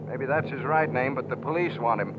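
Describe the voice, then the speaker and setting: A clipped, official airport tower radio operator, voiced by a man in a vintage radio play. The recording is dull and muffled, with clear hiss running beneath. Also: mid-range voice, fairly quick, natural voice. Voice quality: crisp, official radio procedure. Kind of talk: 1940s radio acting. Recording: muffled old radio broadcast with audible hiss.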